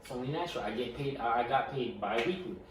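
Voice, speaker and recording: A man's voice: medium pitch, fast talker, background noise. Young guy on a podcast, stumbles on his words a lot, super echoey room, mic kinda far. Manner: animated storyteller, stutters and repeats words. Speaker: podcast co-host. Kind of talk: casual podcast chat.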